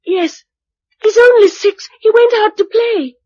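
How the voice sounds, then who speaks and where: A little english girl in a radio drama, really high pitched, earnest and matter of fact, bit lo-fi. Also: a little slow, mellow, no echo. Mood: anxious, plain. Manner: plain-spoken, childlike, earnest. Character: brave, matter-of-fact nine-year-old orphaned English girl.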